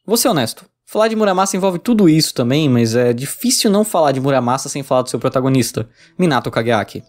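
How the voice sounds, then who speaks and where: A man's voice: mid-range voice, sing-song, fast talker, faint music behind. A man with a Brazilian accent giving scripted narration for a video essay.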